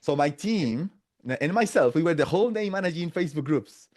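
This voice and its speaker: Brief: male guest, 40s, somewhat high voice, chatty and halting with tag questions. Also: animated, a little mellow. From Latin America. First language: Spanish. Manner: restarts a lot, tags phrases with "no?" and "right?". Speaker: podcast guest. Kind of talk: casual interview storytelling.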